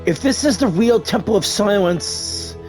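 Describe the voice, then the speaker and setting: A man records casual game commentary. His voice is high and his pace slow, with informal, excitable reactions. Game music plays softly behind him. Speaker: gamer streaming a playthrough.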